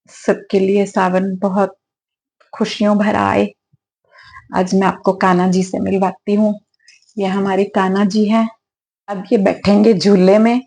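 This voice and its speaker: A woman with a slightly hoarse throat, clearing it now and then, talking gently and affectionately in a casual devotional vlog at her home altar. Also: medium pitch. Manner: gentle, affectionate, preachy at times. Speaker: devotional vlogger.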